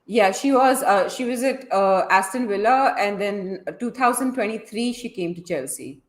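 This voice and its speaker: A woman's voice: medium pitch, lively intonation, moderate pace, roomy sound. A woman casually commentating and analysing a live football match, chatty and opinionated, feeling her way through her thoughts. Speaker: host of a football watchalong.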